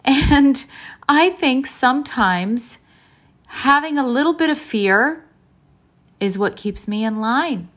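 A woman's voice: sits high, expressive, takes it slowly, fairly bright tone. A woman in her fifties, a nutrition and lifestyle vlogger, talking candidly and thoughtfully to her viewers. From Canada. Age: 50s. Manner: reflective, open and thoughtful. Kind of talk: candid casual talk to camera.

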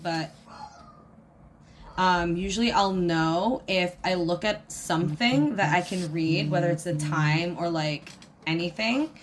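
A woman's voice: lowish voice, unhurried, a little hiss or hum. A woman co-hosting a podcast, chatting casually and rambling, swearing freely. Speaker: podcast co-host. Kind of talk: casual chat.

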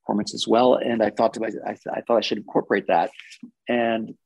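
Gravelly, husky man in a thoughtful conversation. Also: fairly low pitch, little pitch movement, darkish tone, no echo, muffled recording. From France. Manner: halting, thoughtful, often chuckling. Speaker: interview guest, singer-songwriter and actor. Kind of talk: casual conversational interview.

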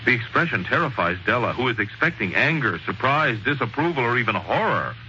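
A man narrating a 1940s radio drama in a theatrical, measured storytelling manner, on a muffled vintage broadcast recording. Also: mid-range voice, sing-song, pushed and bright, slight background noise. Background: prominent music.

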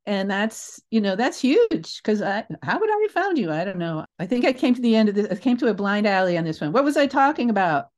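A woman's voice: medium pitch, sing-song, brisk, few pauses. A woman speaking as a guest in a conversational interview, reflective, wandering into asides and correcting herself as she goes. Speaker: guest, theatre writer and creator.

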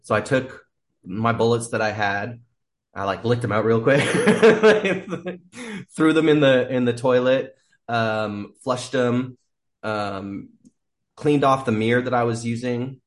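A man's voice: mid-range voice, slow. Laughing, swearing man telling a story. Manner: rambling, laughing, swears freely. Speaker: podcast guest. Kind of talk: casual storytelling.

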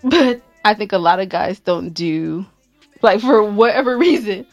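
A woman's voice: medium pitch, unhurried, faint music behind. A woman hosting a podcast in casual, conversational chat. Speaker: podcast host.

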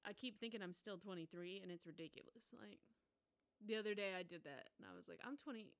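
A woman's voice: medium pitch, fast. A woman in her twenties hosting a casual solo podcast, rambling and swearing freely with lots of fillers. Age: 20s. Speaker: podcast host.

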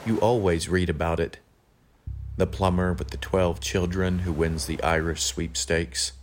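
A man reciting a literary poem with measured, deliberate phrasing. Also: low voice, fairly even intonation, soft background music. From United States. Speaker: guest poetry reader.

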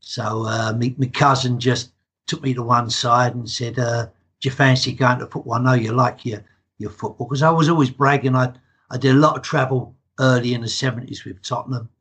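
Retired English man in his sixties, slightly husky, telling his stories casually and plainly. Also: medium pitch, moderate pace. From England. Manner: chatty storyteller, relaxed and matter-of-fact. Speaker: podcast guest. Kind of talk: casual interview chat.

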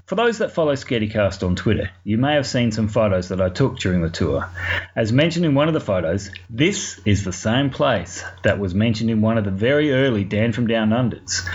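A middle-aged man from Australia with an Australian accent, narrating a scripted recap as a podcast correspondent. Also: lowish voice. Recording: dull lo-fi audio with noise.

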